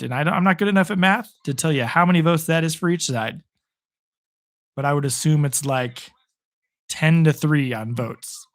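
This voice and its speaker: A man hoarse from hours of talking, giving live play-by-play and chatting upbeat with viewers on his stream. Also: on the high side, fairly bright tone. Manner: chatty, upbeat, reads viewer comments aloud. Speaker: sports streamer. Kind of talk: live play-by-play and chat reactions.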